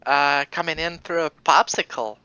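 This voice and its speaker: Female co-commentator from Quebec, low and crisp voice, dry close sound, casual banter. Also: steady tone, normal speed, sharp tone, no room sound, muffled recording. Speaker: livestream card tournament co-commentator.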